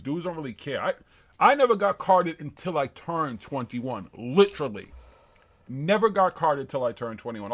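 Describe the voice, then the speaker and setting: Man, 50, live-show co-host, rapid rambling profane banter. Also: mid-range voice, a bit bright. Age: 50s. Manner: loose, profane, rambling storytelling. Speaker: podcast co-host. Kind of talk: casual live-stream chat reading comments.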